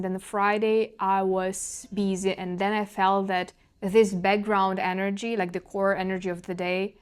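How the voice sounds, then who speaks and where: A young woman with a Ukrainian accent, speaking English as a second language, muses aloud in a relaxed vlog. Her voice is smooth and clear at a middle pitch. She often stops to search for a word and starts the phrase again. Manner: searching for words, frequent restarts. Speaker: vlogger. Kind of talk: casual reflective monologue.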